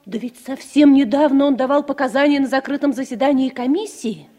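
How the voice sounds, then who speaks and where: A woman's voice: high voice, a little fast, dark tone, close to an everyday voice. An actress playing a forthright, teasing woman in Soviet radio drama style, wry and playful. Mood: urgent.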